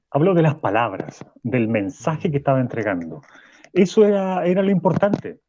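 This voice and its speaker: A man's voice: fairly high pitch, mellow, dull audio, slight background noise. A man with a Chilean accent playing a shaken, weary university professor who stammers and repeats himself. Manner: hesitant, stammering, repeats words. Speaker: guest. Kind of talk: radio drama acting.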